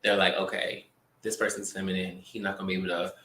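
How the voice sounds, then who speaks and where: A man co-hosting a casual talk show, talking in an animated, expressive way with drawn-out emphasis. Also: deep voice, slight background noise. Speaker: podcast co-host. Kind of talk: casual chat. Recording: echoey room.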